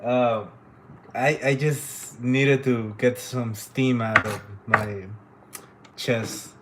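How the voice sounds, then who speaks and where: A man's voice: medium pitch, steady tone, drawn-out words, very noisy. A man from Honduras who speaks natively, chatting casually and rambling with plenty of swearing as he hosts a livestream. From Honduras, living in Canada since age twelve. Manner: informal, profane, rambling. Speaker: livestream host and painter.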